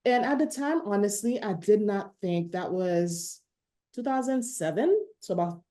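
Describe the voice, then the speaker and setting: A woman's voice: mid-range voice, expressive, a little slow, warm tone. A woman in her late thirties from Haiti, an interpreter and translator, talking casually on a video call and laughing at herself now and then. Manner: chatty, self-deprecating, laughs often. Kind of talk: casual webinar talk.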